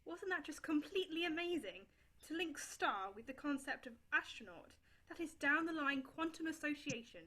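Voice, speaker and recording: Brief: a woman reading a shy middle-aged male family friend in a bright, high voice, hesitant and trailing off. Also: animated, normal speed, low-quality recording. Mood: gushing. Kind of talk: amateur online play reading.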